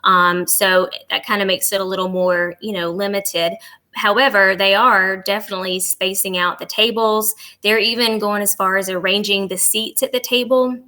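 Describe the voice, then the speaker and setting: Bright voiced woman, chatty n agreeable, casual podcast guest doing a travel review, normal pitch. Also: steady tone, normal speed.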